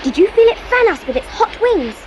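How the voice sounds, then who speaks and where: An imaginative young British girl in a storybook dramatisation. Her voice is extremely high and lilts up and down. She sounds earnest, eager and full of wonder. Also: very animated, brisk, muffled recording, background noise. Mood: curious, wondering.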